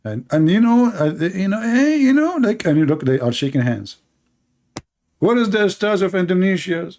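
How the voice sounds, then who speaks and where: A man's voice: high voice, very animated, moderate pace, darkish tone. A man with an Arabic accent, native Arabic speaker, hosting a sarcastic, mocking commentary on videos, rambling with fillers. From Middle East. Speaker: host.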